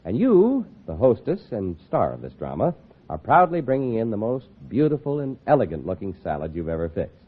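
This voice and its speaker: A thin, band-limited vintage recording of an American man as a 1940s radio announcer. His pitch swings widely and his voice is husky, with a polished, emphatic sales delivery. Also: wildly swooping pitch, brisk, narrow, tinny audio. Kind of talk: 1940s scripted radio advertising and narration.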